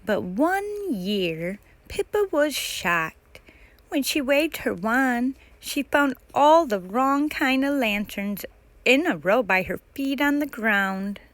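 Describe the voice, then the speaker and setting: A woman reads a children's picture book aloud in a fairly high voice. Her pace is very slow and measured, and the sound is dry and close, with little room to it. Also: animated, a bit bright, no echo. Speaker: storytime host reading a picture book. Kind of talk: read-aloud children's story narration.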